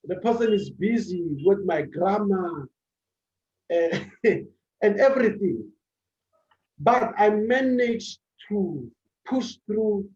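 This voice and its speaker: A man with a fairly high voice delivers a motivational talk, slowly and deliberately. He builds his points from short, punchy phrases and repeats them for emphasis. The sound is dull and echoes through a room. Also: animated, a little mellow. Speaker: public speaking club speaker. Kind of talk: motivational speech.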